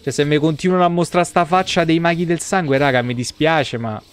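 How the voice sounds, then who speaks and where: A man's voice: on the high side, a little fast, clear, resonant tone. A man in his thirties with a Roman Italian accent gives casual, rambling, foul-mouthed live gameplay commentary. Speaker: game streamer. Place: small indoor streaming room.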